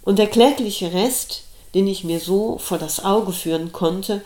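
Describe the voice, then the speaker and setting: A middle-aged woman reading her own reflective personal essay aloud, in a slow, measured and thoughtful way. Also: medium pitch, warm tone. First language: German. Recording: roomy echo.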